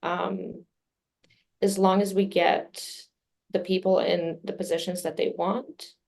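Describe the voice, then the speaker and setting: Woman, Serbian native speaker, low pitch, gravelly fry, measured answers. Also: flat delivery, a little mellow. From Midwest US. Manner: measured, many fillers, speaks of herself personally. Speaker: communications director, interview guest. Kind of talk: casual interview answers.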